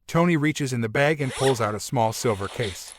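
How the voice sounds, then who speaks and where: A man's voice: mid-range voice, expressive, normal speed. Male narrator for a scripted crime story, medium register, slight background noise and clicks.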